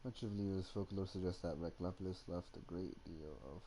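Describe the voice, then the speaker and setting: A man casually streaming a game, reading on-screen text aloud in a halting, stumbling, muttering way. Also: deep voice, fairly even intonation, mellow, slight background noise.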